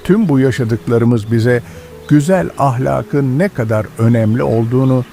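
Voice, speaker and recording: A man's voice: medium pitch, a little slow, gravelly, faint music behind. An actor playing a kind, pious old village grandfather who teaches patiently in a children's cartoon. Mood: gently moralizing. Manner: instructive, gentle moralizing. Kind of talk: educational children's cartoon dialogue.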